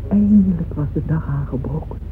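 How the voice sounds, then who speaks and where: Radio play, thin band-limited sound: actress as yearning, devoted middle-aged mother, lowish voice, dramatic and lingering. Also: brisk, narrow, tinny audio, close to an everyday voice. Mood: wistful. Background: music.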